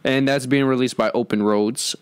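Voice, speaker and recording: A man's voice: mid-range voice, steady tone, moderate pace, smooth, dry recording. A man co-hosting an entertainment podcast, chatting casually in informal, slangy language. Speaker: podcast co-host introducing the show.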